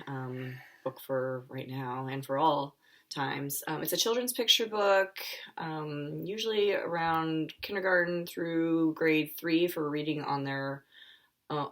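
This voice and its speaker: A woman with an American accent and a low-pitched voice gives an informal live read-aloud for children. She speaks as an author, with chatty, friendly asides to her young listeners. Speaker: children's author and school counselor.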